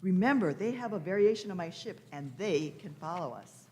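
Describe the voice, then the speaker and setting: A woman's voice: lowish voice, expressive, brisk, dark tone, close to an everyday voice. A woman playing an eccentric, imperious elderly time traveller in a radio play, fussily humming and repeating words. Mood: fond, thoughtful. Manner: fussy, repeated words and hums. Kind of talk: live radio play reading.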